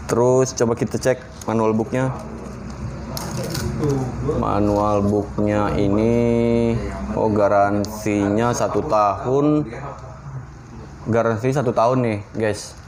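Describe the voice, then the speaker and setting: A man's voice: fairly low pitch, fairly even intonation, slow, pauses often, crowd noise, rough recording. A man, giving an informal, slangy, unscripted hands-on product review. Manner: informal, slangy, talking through a hands-on demonstration.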